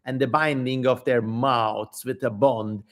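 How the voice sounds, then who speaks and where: A man acts out a fierce female demon from an ancient tale. She is haughty and defiant, declaiming theatrically. The delivery is slow and deliberate, at a medium male pitch. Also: steady tone, close to an everyday voice. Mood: menacing. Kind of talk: dramatic reading of an ancient text.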